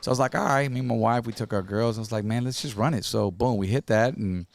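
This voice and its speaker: A man hosting a podcast in loose, joking talk full of slang, his voice a little raspy. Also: mid-range voice, fast. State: slightly raspy from partying. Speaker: podcast host. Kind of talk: casual chat.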